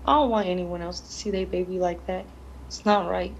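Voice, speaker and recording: Low-pitched grieving woman, gravelly voice. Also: steady tone, brisk, lo-fi sound, slight background noise. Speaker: interviewee, grieving mother. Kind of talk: emotional television interview.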